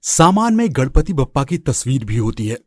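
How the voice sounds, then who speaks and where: A man narrating a scripted moral story in an expressive storytelling style. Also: medium pitch, moderate pace, no echo, studio quality. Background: background music.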